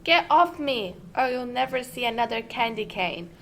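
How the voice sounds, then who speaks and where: A woman playing a spiteful, indignant witch with shrill, threatening delivery in a children's fairy-tale radio play. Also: animated, normal speed, fairly bright tone, low-quality recording.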